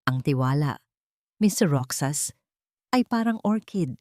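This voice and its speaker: Woman playing icy female consultant out for revenge. Audiobook melodrama, hushed, deliberate, lowish pitch. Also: lively intonation, no room sound, clean studio sound. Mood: cold, restrained. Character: cold, vengeful woman consultant, quietly menacing.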